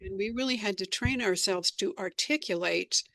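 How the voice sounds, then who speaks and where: A woman's voice: mid-range voice, normal speed, creaky, fairly bright tone. A woman being interviewed, telling her recollections in an articulate, storytelling way. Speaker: interview guest, radio drama writer and performer. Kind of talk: reminiscing interview answers.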